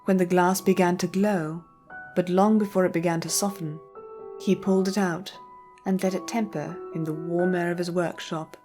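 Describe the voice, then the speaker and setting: Low-pitched woman narrating a story. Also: fairly even intonation, moderate pace, music in the background.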